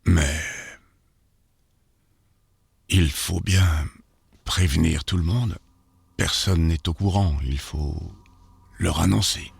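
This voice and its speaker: A deep, rough-edged, ominous voice on the radio, as a man acts it out, dragging out every word with a gravelly rasp. The sound is dry and close, with faint music underneath. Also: steady tone, slow pace, dry recording, exaggerated voice.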